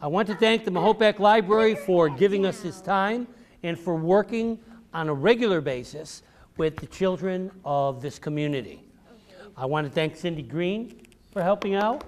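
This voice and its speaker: A man's voice: high voice, moderate pace, a little hiss or hum. A man giving an educational talk to children as a visiting author, addressing them directly and repeating questions and key phrases. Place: public library room with a group of children.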